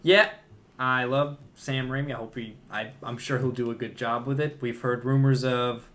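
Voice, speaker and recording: Guy on a movie podcast just chatting, medium pitched voice. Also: steady tone, moderate pace, fairly bright tone. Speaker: podcast co-host. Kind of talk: casual film discussion.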